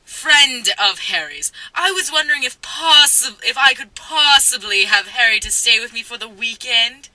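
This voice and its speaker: Woman putting on a pompous, bright-voiced boy wizard. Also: fairly high pitch, dry recording, voice pushed up, low-quality recording. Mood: grandly polite. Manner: pompous, theatrical, drawn-out delivery.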